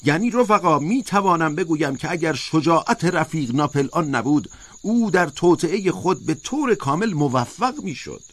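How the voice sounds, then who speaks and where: A man's voice: a little high, fairly bright tone, pitched above the performer's own voice. A man voicing a sly, fervent propagandist pig in a storybook, speaking in an emphatic, insistent, oratorical way. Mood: insistent, accusing. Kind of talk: audiobook character voice.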